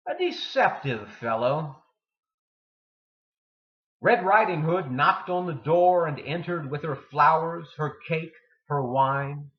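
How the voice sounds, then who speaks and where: A man giving a dramatic poetry reading with deliberate, theatrical delivery and emphatic pauses. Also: fairly high pitch. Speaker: poetry reader. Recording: dull lo-fi sound in echoey room.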